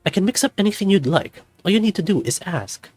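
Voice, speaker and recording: A man voicing a flirtatious, camp snow leopard resort host with a theatrical, flattering manner in a visual novel. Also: high-pitched, fast talker, faint music behind, voice pushed up. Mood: flirty, coy.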